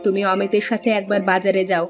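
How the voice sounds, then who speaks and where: A woman's voice: medium pitch, flat delivery, quick pace, lightly acted. A woman voicing a bossy but kindly aunt who runs the household in a cartoon folktale. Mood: matter-of-fact. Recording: prominent music under the voice.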